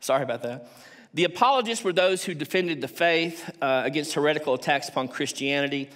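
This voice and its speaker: A man in his sixties with a Texan accent, giving a measured academic lecture and reading quotations aloud from a stage lectern. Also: a little high, fairly bright tone. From Texas, United States. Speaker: theology professor, conference speaker. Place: large auditorium stage at a lectern.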